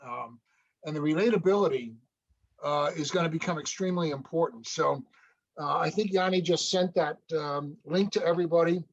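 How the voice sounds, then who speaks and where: A man with a slightly high voice explains things informally to colleagues in an online meeting. The call audio is dull and lo-fi. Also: normal speed.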